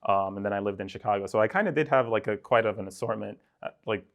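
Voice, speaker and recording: A young man from Sudan, a podcast guest talking in a relaxed, rambling way and filling his speech with "like" and "right?". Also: on the low side, fast, gravelly, lo-fi sound. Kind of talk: casual conversational interview.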